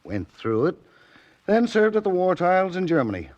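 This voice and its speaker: An actor playing a plain-spoken newspaper editor in a 1940s radio drama, colloquial and clipped, obliging but a little reluctant. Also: male voice, high-pitched, big pitch swings, normal speed, darkish tone. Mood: matter-of-fact. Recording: muffled vintage radio recording.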